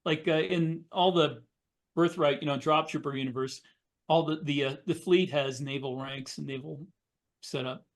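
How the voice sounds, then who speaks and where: A man's voice: on the high side, steady tone, moderate pace. A man speaking casually as an author on a podcast panel.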